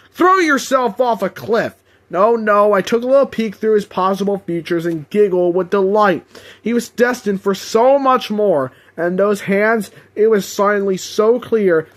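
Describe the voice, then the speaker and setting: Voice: medium pitch, fairly bright tone. A manic demon villain played by an amateur reader narrates a storybook like a boastful showman. The delivery is theatrical and mocking, full of scheming glee. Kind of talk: dramatic character audiobook reading.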